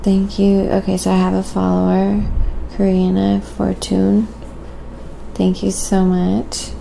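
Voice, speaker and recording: A woman talks softly and slowly over a clearly noisy background, as on an ASMR painting livestream. Her voice is breathy with heavy fry, often sinking toward a whisper. She chats casually, thinking out loud as she works. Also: medium pitch, monotone. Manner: unhurried, musing aloud while working, thanking viewers.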